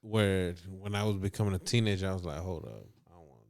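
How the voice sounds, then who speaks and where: A man's voice: sits low, quick pace, creaky, a little mellow. A young man telling candid stories from his life in a laid-back, slangy way. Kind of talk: casual storytelling.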